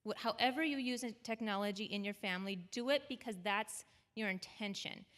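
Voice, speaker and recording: A woman with a bright, crisp voice answers parenting questions on a panel in a church. She speaks in a conversational way and keeps checking in with her listeners, tossing in a quick "right?". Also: mid-range voice. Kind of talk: advising at a panel discussion. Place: church auditorium.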